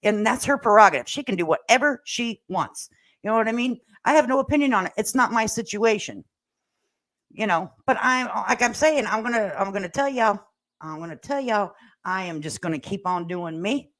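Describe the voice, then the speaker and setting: A woman's voice: mid-range voice, animated, normal speed, fairly bright tone. An older woman chatting casually as a livestream host, with a folksy, sassy, plain-spoken and slangy way of talking. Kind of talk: casual livestream commentary chat, reading viewer comments aloud. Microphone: standalone microphone at a laptop.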